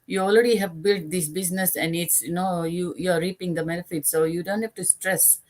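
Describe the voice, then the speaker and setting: Older woman from Fiji, low voice, measured retired guest. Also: fairly even intonation. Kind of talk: casual interview answers.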